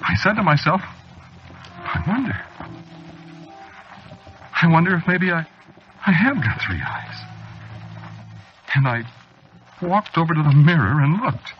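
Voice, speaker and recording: Haunted man losing his grip, halting confession, swinging pitch, dull old radio sound with music. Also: animated, slight background noise. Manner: halting, confessional first-person telling. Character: haunted middle-aged man sliding into madness. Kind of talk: 1940s radio acting.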